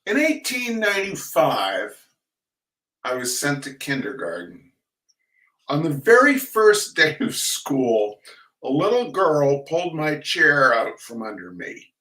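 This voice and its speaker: An older man hosting a reading of humorous essays, chatting casually and reading aloud in a wry, theatrical, halting manner. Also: a little high, big pitch swings, bright, forceful tone. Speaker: host of a weekly humor reading. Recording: roomy echo, distant microphone.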